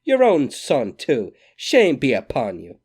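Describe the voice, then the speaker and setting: A man's voice: mid-range voice, huge pitch swings, slow pace, darkish tone, breathy edge, voice pushed up, exaggerated voice. A man voicing a sternly reproachful mother who cries out in scolding. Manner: crying out reproachfully.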